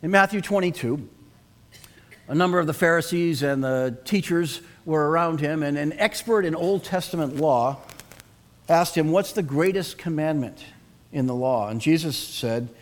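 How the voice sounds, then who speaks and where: A man's voice: on the high side, normal speed. Earnest man preaching a sermon. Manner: earnest, asks the congregation to respond. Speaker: pastor.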